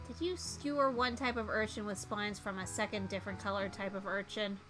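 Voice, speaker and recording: A woman's voice: mid-range voice, fairly even intonation, fairly quick, a bit bright, faint music behind, lightly acted, low-quality recording. A woman voicing a fussy, grumpy male dog professor who judges cooking in a visual novel, theatrical and indignant.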